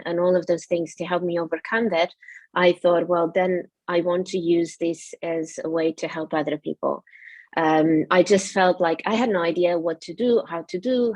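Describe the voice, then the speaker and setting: A woman's voice: lowish voice, a little mellow, no room sound. A woman from Portugal with a Portuguese accent, a yoga studio owner telling her story in an earnest, reflective way with many fillers. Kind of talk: interview.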